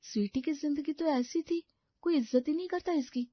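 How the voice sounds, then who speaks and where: A woman voicing a meek, emotional young wife in an audio story, soft-hearted and easily dismayed. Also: sits high, moderate pace, no echo, highs cut off, pitched above the performer's own voice, lightly acted. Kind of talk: Hindi audio story character acting.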